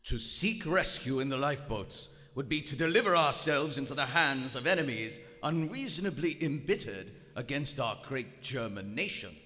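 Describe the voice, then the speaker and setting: A man's voice: medium pitch, lively intonation, normal speed, hardly any pauses. A man acting a stern, proud German submarine captain with formal, commanding diction in live radio theater. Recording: echoey theater hall, loud hiss.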